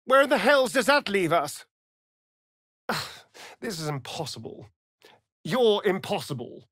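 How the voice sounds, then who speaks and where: Vain vampire rogue, British accent, sardonic, theatrical, animated pitch, unhurried drawl, fantasy game dialogue. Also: male voice, dry recording, clean studio sound, full character voice. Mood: exasperated. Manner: arch, theatrical, drawling, aristocratic delivery. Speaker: video game voice actor.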